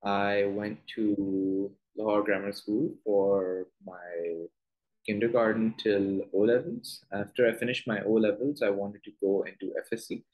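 A man's voice: fairly low pitch, steady tone, a little slow, darkish tone. A young man in his twenties with a Pakistani accent, a doctor answering interview questions on a video call, earnest and full of "you know" fillers. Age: 20s. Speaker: guest doctor. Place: small room at home. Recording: echoey room on video call.

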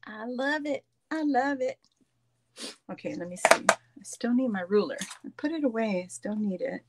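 A woman's voice: a little high, lively intonation, normal speed, very noisy. A woman hosting a live craft session over a video call, chatting playfully and laughing often. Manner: giggly, playful, laughs a lot. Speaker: craft show host. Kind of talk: casual chat while crafting. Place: home craft table, indoors.

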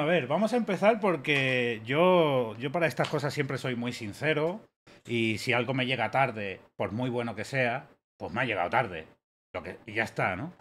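A man in his late thirties hosts a laid-back chat his home streaming room, talking loose, slangy and friendly, often repeating himself. His voice sits at an ordinary middle pitch. A faint hum of room ambience runs underneath. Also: a bit bright. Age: late 30s. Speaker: podcast host. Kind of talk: casual chat.

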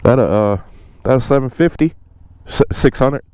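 A man vlogging casually from a motorcycle meetup outdoors, talking to viewers in relaxed slang through a helmet or body camera mic. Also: mid-range voice, moderate pace, clear, resonant tone. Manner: laid-back slangy talk to viewers. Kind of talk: casual vlog narration. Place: outdoors at a parking lot meetup. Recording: loud wind and engine noise outdoors.

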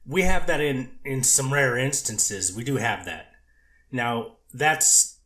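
Man playing a hedging counselor witness, halting restarts, echoey room. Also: mid-range voice, a bit bright, close to an everyday voice. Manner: hedging, restarting answers as in the transcript. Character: cautious male treatment counselor witness. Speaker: treatment counselor witness, and reader of the intro. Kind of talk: readers theater courtroom reading.

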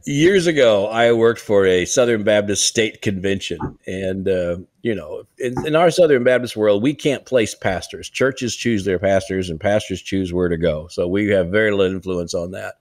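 A man's voice: fairly low pitch, moderate pace, hardly any pauses, no room sound, clean studio sound. An older man speaking over a headset on a video call, co-hosting a casual podcast with earnest, emphatic encouragement. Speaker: church revitalization leader, co-host. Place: home room, indoors.

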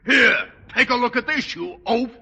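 Pompous high-pitched school board chairman. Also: moderate pace. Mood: indignant. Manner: pompous, blustering, formal. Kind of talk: 1940s radio sitcom acting. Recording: noisy vintage 1940s radio broadcast.

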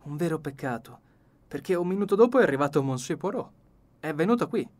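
A man's voice: on the high side, animated, fast talker, darkish tone, pitched above the performer's own voice, natural voice. A man voicing a nosy, insistent middle-aged sister in an audiobook, with a pointed, prodding manner. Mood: eager, gossipy. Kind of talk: audiobook character dialogue.

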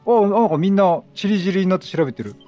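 Expressive man chatting through a game, music behind. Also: high voice, fast talker, lo-fi sound. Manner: chatty, reacts aloud, questions and repeats lines. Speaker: gaming streamer. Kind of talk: casual let's play commentary, reading out game text.

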